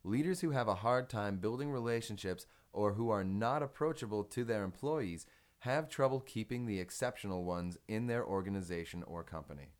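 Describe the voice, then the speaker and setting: A man reading a business article aloud as scripted narration, in a measured, instructional manner. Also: on the low side, lively intonation.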